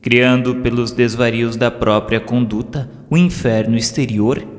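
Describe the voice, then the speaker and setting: A Brazilian man reading solemn scripted religious narration in a formal, measured, oratorical way. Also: mid-range voice, fairly even intonation. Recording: long hall reverb, music underneath. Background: background music.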